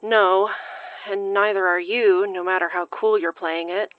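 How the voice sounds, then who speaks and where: An actress playing a worried, sarcastic, sharp-tongued young woman in an audio drama, swearing in exasperation. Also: mid-range voice, steady tone, measured pace, dry recording, narrow, tinny audio, lightly acted. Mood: weary, wry.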